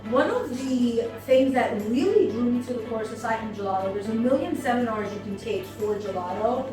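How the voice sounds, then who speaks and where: Woman in an echoey room. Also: a little high. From United States. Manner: thoughtful, frequent ums and pauses. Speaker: seminar attendee, business owner. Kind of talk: testimonial interview. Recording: echoey room, mic far off, music behind.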